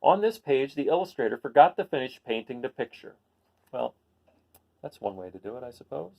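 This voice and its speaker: A man's voice: medium pitch, sing-song, brisk, creaky, mellow, muffled recording. A man reading a children's picture book aloud, adding casual asides and putting questions to his listeners. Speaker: read-aloud host.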